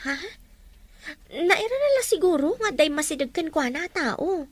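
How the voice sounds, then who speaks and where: A woman's voice: sits high, lively intonation, moderate pace, a little hiss or hum. A woman playing a proud, prickly, guarded young female student in an audio drama. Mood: gossiping.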